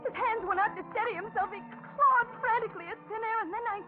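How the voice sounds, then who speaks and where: Frightened young woman, high-pitched, melodramatic 1940s radio acting, hesitant phrasing, thin vintage recording. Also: fast, narrow, tinny audio, over music. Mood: panicked. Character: frightened young carhop recounting her nightmare ordeal. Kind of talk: 1940s radio drama acting, first-person narration.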